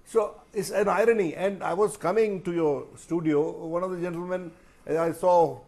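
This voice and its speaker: Indian guy, journalist on a talk panel, kinda high voice, very emphatic and rhetorical. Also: steady tone, normal speed, a little mellow. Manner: emphatic, rhetorical, repeats phrases. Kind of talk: panel discussion commentary.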